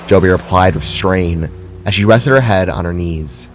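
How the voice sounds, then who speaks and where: Low-pitched man narrating a story. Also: moderate pace, faint music behind. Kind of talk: scripted dramatic story narration.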